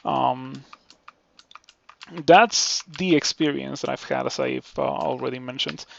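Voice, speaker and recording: A man from Mexico with a Mexican Spanish accent and Spanish as his first language, calmly commentating a live game stream and thinking aloud with many fillers. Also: medium pitch, slow, creaky, highs cut off.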